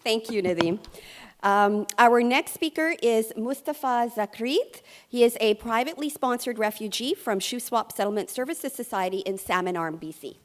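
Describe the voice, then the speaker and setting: A woman's voice: fairly high pitch, lively intonation, moderate pace. A woman moderating a meeting, introducing speakers in an informal, friendly way. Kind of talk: event moderating.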